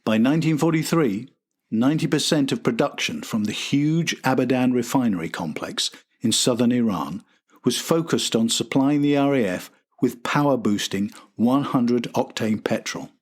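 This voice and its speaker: A man's voice: mid-range voice, moderate pace. A man hosting and narrating a history documentary from a script. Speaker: host and narrator.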